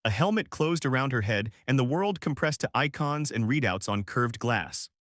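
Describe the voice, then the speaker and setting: A man reading a scripted science fiction war story as its narrator, in a measured, formal storytelling manner. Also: mid-range voice, expressive, fairly bright tone, dry recording, clean studio sound.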